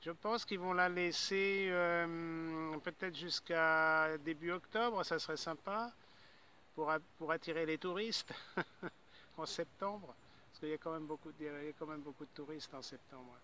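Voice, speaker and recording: A man's voice: on the high side, sharp tone, slight background noise. A man chatting casually as an amateur radio operator, rambling and repeating his words as he goes. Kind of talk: casual ham radio chat.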